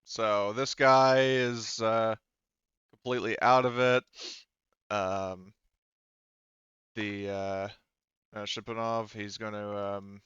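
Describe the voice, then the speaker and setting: Low, smooth-voiced man, slightly dull recording. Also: a bit bright, no room sound. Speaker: game master. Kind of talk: narrating a tabletop roleplaying game, casual.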